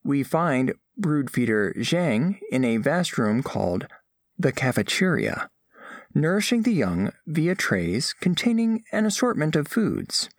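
A formal, curious alien scholar, acted by a man, narrates a story in precise, clinical words. The voice is fairly high and moves animatedly in pitch. The recording is dry and close. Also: big pitch swings, unhurried, no room sound, full character voice. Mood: detached curiosity. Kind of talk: scripted first-person story narration.

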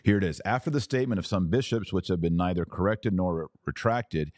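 A man's voice: low voice, expressive, fairly quick, darkish tone, no room sound, studio quality. A man hosting a podcast, giving conversational religious commentary in an emphatic, colloquial, explanatory manner. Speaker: podcast host.

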